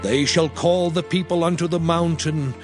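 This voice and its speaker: A man playing Moses, a solemn patriarch, in a declamatory, measured dramatized scripture reading. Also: a little high, steady tone, faint music behind, close to an everyday voice. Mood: solemn, exalted.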